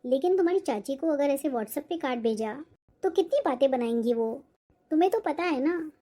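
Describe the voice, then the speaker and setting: A woman's voice: sits high, animated, moderate pace, dark tone. A woman voicing a humble, worried middle-aged widowed mother in a Hindi cartoon moral story.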